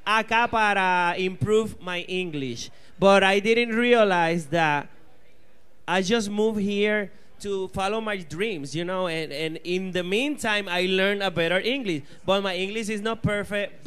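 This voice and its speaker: A man's voice: high voice, measured pace, a bit bright, clean tone. A man with a Puerto Rican accent, a drag queen answering fan questions at a bar event in a sassy, playful way, slipping into Spanish. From Puerto Rico. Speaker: drag queen panel guest. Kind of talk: casual Q&A banter. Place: bar stage, indoors. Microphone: handheld mic.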